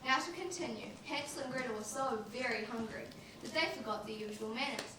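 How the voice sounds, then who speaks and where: A woman playing a patient parent who reads a bedtime story in a measured, firm way in a stage play. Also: on the high side, lightly acted. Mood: storytelling. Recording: very echoey, noisy, distant room.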